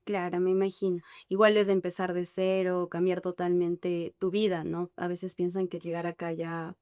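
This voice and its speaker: A woman's voice: fairly low pitch, little pitch movement, moderate pace, darkish tone, clear, resonant tone, no echo, studio quality. A woman hosting a podcast interview, asking friendly questions to prompt her guest. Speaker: podcast host.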